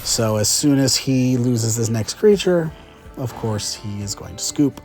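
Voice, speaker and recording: A man's voice: medium pitch, expressive, a little slow, a little mellow, faint music behind. A chatty, upbeat man hosting casual gameplay commentary with frequent fillers, a little congested from a cold. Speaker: gaming channel host.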